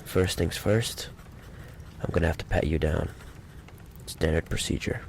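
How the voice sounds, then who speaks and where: Man playing a menacing mafia boss, very gravelly low voice, taunting and possessive, ASMR roleplay, faint background noise. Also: heavily acted. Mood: cool menace. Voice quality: deep, gravelly. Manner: slow taunting menace, mock-courteous endearments. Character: menacing, possessive male mafia boss, smooth-talking and impatient. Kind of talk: ASMR roleplay, acted monologue to the listener.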